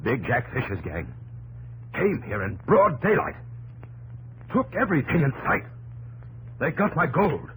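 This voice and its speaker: Hot-tempered frontier dad, gruff, terse, extremely dynamic pitch, vintage radio noise. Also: male voice, huge pitch swings, a little slow, long pauses, a bit bright. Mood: grim, furious. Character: stubborn, hot-tempered frontier father seeking gold. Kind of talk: 1950s radio drama acting. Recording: noisy old radio broadcast with hum.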